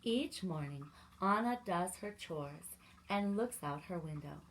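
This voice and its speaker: A woman's voice: fairly low pitch, animated, unhurried, slight background noise. A woman reading aloud to young children as a story time host, expressively and with little asides to the listeners.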